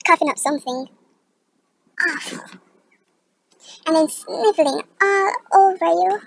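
An exasperated woman complains emphatically about other people's germs as acted by a woman for an audition monologue. Her voice is high and her delivery is theatrical, with deliberate pauses. Faint noise sits behind her. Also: creaky, exaggerated voice. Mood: disgusted complaint.

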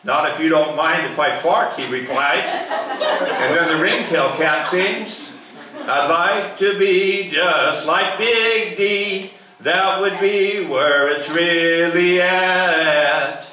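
A man reciting a comic rhyming poem at an open mic in a drawn-out, sing-song delivery. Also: on the high side, sharp tone. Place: bar lounge open mic. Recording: echoey room from far off, faint noise.

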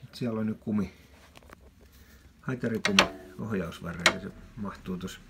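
A middle-aged man giving laid-back, casual commentary while he works with his hands in a small garage. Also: lowish voice. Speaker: amateur mechanic presenting own repair video. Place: small wooden garage or shed, indoors. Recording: noisy amateur workshop recording, clicks.

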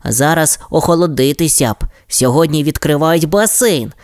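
A woman voicing a keen young male digger pup with an excited, bright storybook voice. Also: deep voice, moderate pace, no room sound, studio quality, pitched above the performer's own voice, close to an everyday voice.

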